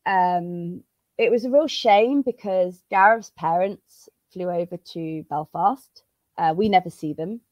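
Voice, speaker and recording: Hoarse woman, low-pitched. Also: unhurried, darkish tone, dry recording. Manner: chatty, many fillers and restarts. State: slightly hoarse from a cold. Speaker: jiu-jitsu competitor, guest. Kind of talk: casual interview storytelling. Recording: dull, dry lo-fi sound.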